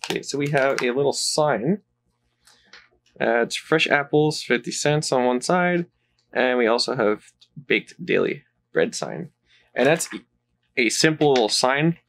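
A man's voice: mid-range voice, measured pace, gravelly, long pauses. A man giving casual, rambling commentary while unboxing a toy playset, off camera. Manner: relaxed, rambling, with fillers.

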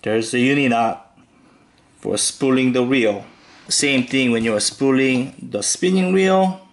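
A man hosting a fishing tutorial, calmly talking through each step with occasional fillers as he ties knots. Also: medium pitch. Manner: slow, relaxed instructional talk with fillers. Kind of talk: step-by-step demonstration voice-over.

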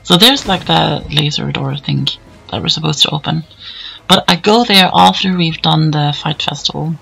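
A hoarse man giving casual, rambling commentary over gameplay, with plenty of fillers. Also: on the high side, normal speed, pushed and bright, rough recording. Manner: rambling, easygoing, many fillers. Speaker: let's play commentator.

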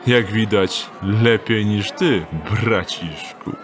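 A wry, unhurried thief who talks slowly and dryly in a lowish voice. He mocks his companions with calm confidence. A faint ambience sits behind him. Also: noisy background. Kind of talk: audio drama acting.

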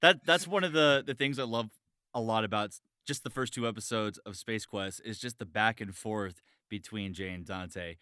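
A man's voice: lowish voice, moderate pace, pushed and bright, clean tone, no echo, clean studio sound. A man chatting casually as a podcast host. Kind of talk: casual chat.

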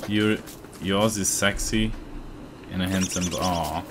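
Deep-voiced male streamer, casual. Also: takes it slowly, noisy background. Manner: relaxed, chatty, reads out viewer chat. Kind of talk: casual gameplay chat. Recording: game music loud behind.

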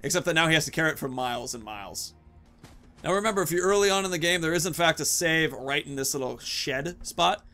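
A man's voice: on the high side, lively intonation, quick pace, bright, forceful tone, soft background music. A man in his thirties giving casual, playful gameplay commentary, rambling and talking to himself as he plays. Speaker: gaming video host.

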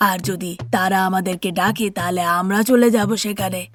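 Woman voicing even-toned, placid old husband. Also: mid-range voice, normal speed, lightly acted. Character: reluctant, placid elderly husband and grandfather. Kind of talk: Bengali cartoon dialogue.

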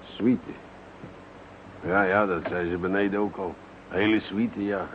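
An actor playing a pompous, bumbling, self-satisfied police commissioner in a radio play, muttering and blustering self-importantly. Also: male voice, low voice, fairly even intonation, a little slow. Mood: musing. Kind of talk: radio drama acting. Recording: muffled, noisy old radio recording.

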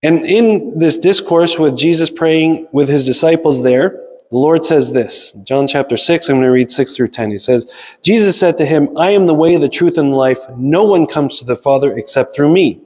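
A man's voice: a little high, normal speed, a little mellow. A man preaching a sermon in a conversational, explanatory way, often checking in with listeners with short tags. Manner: explanatory, frequent checking tags like "right?" and "okay?". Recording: long reverb of a large hall.

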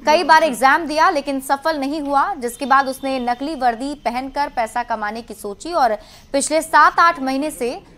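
A woman news anchor reading a bulletin of regional news in a brisk, formal headline style. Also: high-pitched, fairly bright tone. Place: television studio.

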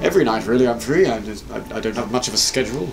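A man's voice: on the low side, animated, racing, creaky, over music. A young man in a scripted comedy sketch, talking in a flustered, stammering, fussy way. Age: young adult. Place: small home room, indoors.

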